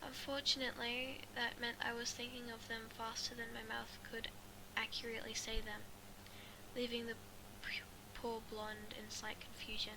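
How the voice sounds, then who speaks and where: A woman reading a story aloud as an amateur, halting and stumbling over her words. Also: on the high side, flat delivery. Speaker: fan fiction reader. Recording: clearly noisy amateur recording.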